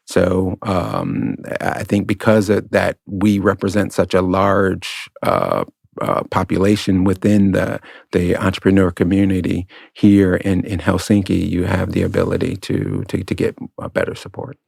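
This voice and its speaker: A man's voice: deep voice, moderate pace, gravelly, darkish tone, slightly rough, no echo, clean studio sound. A man talking as a podcast guest about entrepreneurship, hesitant, with many fillers and restarts. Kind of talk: casual podcast conversation.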